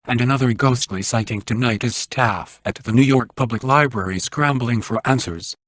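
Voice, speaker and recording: An actor playing a serious, urgent male news anchor in a video game, with a husky voice and formal newsreader delivery. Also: mid-range voice, moderate pace, a bit bright, no echo, studio quality, close to an everyday voice.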